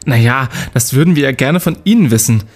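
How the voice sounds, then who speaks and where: An actor playing a cheeky, curious university student detective in an audio drama, theatrical and flippant. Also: male voice, medium pitch, expressive, a little fast, lightly acted.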